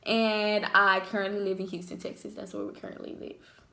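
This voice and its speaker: A woman's voice: mid-range voice, brisk, creaky. Chatty young woman, echoey room. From Jackson, Mississippi. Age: 20s. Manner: chatty, animated storyteller. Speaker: co-host of a couple's channel. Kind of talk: casual Q&A chat, storytelling.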